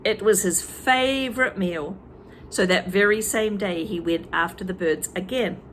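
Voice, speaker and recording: A teacher reading a children's book aloud, with chatty asides to young listeners. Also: female voice, medium pitch, unhurried, slight background noise.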